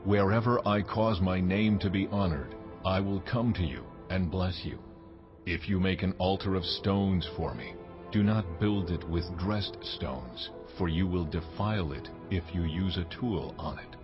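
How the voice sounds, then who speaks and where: Audio Bible deity: low, grave, authoritative male actor, measured delivery, muffled sound. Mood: solemn commanding. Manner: slow, solemn, measured pronouncements. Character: God, a solemn commanding male deity. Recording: dull lo-fi audio under loud music.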